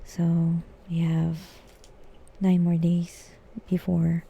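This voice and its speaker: A woman's voice: fairly low pitch, completely flat delivery, extremely slow, creaky, mellow, husky. A woman chatting casually on a live game stream, rambling and thinking aloud in a hesitant way. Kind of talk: casual live stream chat while gaming.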